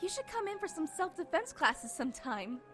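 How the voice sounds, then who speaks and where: A woman's voice: very high-pitched, sing-song, moderate pace. A woman playing a friendly, breezy bodyguard trainer in an audio comic, with a bubbly casual manner. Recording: clear music behind the voice.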